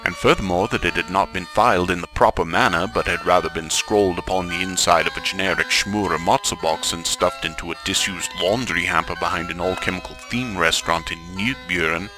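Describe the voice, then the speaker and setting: A man's voice: low voice, rough recording. A man narrating in a formal, portentous, mock-serious old-time radio announcer style. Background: music.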